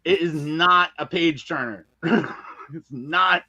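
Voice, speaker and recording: A man's voice: a little high, slow pace, bright, forceful tone, lo-fi sound, a little hiss or hum. A man talking casually and candidly as a podcast interview guest, with emphatic, colloquial phrasing. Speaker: guest, audio drama writer and filmmaker.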